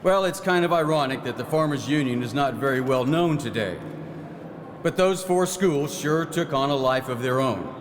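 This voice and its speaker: An actor playing a proud, folksy 1909 farm-minded legislator who reminisces in a plain-spoken theatrical monologue. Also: male voice, medium pitch, lively intonation, normal speed, lightly acted. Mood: proud, reminiscing. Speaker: actor in historical re-enactment. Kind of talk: theatrical first-person historical monologue. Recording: echoey hall, clear background noise.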